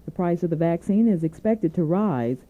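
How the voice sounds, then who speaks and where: A woman anchoring a scripted radio newscast, reading in a formal, measured way. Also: on the low side, animated. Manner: formal, measured newsreading delivery. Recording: narrow tinny AM radio aircheck.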